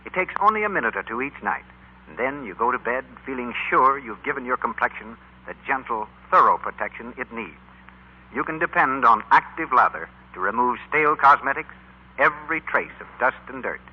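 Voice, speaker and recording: A man's voice: mid-range voice, animated, fairly quick, slightly rough. A male announcer reading a scripted 1940s radio commercial in a polished, persuasive manner. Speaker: radio announcer for a soap advertisement. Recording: dull lo-fi 1940s radio recording.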